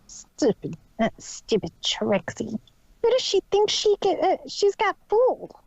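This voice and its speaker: A woman's voice: very high voice, huge pitch swings, measured pace, gravelly, a little mellow, no echo, highs cut off, pitched above the performer's own voice, heavily acted. A woman voicing a brash, grumbling young female pony in a story reading, blunt and indignant.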